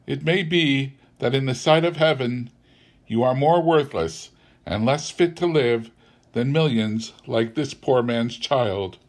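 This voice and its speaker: A man's voice: mid-range voice, slow, lightly acted. A man voicing a stern, solemn spirit who rebukes in a grave, oratorical manner.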